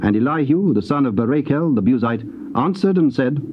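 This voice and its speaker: A man narrating scripture from a script in a formal, measured way. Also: medium pitch, animated. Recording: muffled tape transfer, noisy.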